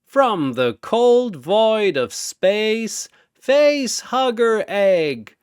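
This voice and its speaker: A man voicing a grandiose ancient arena master who makes drawn-out showman's proclamations in a fantasy battle story. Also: high voice, sing-song, smooth, no room sound, clean studio sound, pitched above the performer's own voice, heavily acted. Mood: booming announcement.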